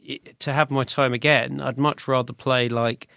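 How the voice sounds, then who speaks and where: Man, British accent, creaky voice, dry close sound, rambling informal interview chat. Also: medium pitch, little pitch movement, moderate pace, bright, forceful tone, dry recording. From Britain. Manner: rambling, self-correcting, informal. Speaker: musician guest, singer-songwriter.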